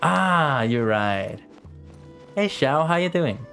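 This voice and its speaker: A man's voice: a little high, lively intonation, slow, creaky, a bit bright. A young man doing casual livestream commentary over a video game, rambling and thinking aloud. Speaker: game streamer. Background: game music.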